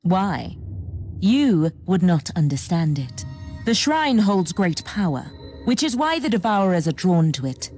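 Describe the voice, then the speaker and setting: An actress playing an aloof, condescending alien seer who speaks solemnly in riddles in a video game. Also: female voice, fairly low pitch, very animated, a little slow, darkish tone, soft background music. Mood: cryptic, aloof.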